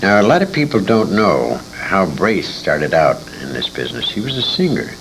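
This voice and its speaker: A man's voice: low-pitched, sing-song, normal speed. An older man, a former radio actor, reminiscing as an interview guest in a rambling, self-correcting way on a noisy amateur recording.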